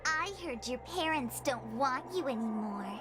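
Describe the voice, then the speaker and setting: High, petulant young bully. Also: female voice, expressive, a little slow. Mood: spiteful. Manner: taunting, petulant. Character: resentful, spiteful bullying child. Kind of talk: video game cutscene acting. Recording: clear background noise.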